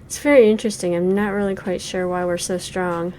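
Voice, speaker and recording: A woman's voice: lowish voice, fairly even intonation, brisk, warm tone, a little hiss or hum. A woman in her forties giving casual, thoughtful commentary on a game she is playing, full of ums and likes. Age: 40s. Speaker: game reviewer. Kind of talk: casual let's play commentary.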